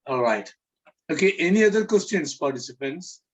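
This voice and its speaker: A man from India moderating an online question session, calmly calling on participants. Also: on the high side, normal speed. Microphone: video call. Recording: dull lo-fi call audio.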